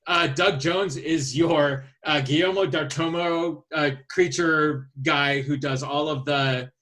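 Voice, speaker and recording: A man with a slightly high voice talks in a casual podcast style. He is hesitant and halting, thinking aloud as he works through his list of picks. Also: fairly even intonation, fairly bright tone. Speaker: podcast host. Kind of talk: casual chat, presenting a list of casting picks.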